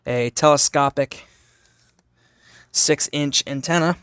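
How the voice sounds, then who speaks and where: A man's voice: a little high, slow pace, a bit bright, smooth, dry recording. Man giving a casual, dry-sounding gadget demo. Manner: explanatory, asks and answers own questions. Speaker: hobbyist product reviewer. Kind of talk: casual unscripted gadget demonstration. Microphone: phone camera held while demonstrating.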